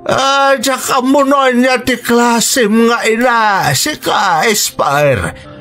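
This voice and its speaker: A man's voice: very high-pitched, slow pace, a bit bright, exaggerated voice. A man playing a reproachful old father in a radio drama, with an Ilocano accent. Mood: indignant, scolding. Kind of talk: Filipino radio drama acting. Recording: music bed under dialogue. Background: music.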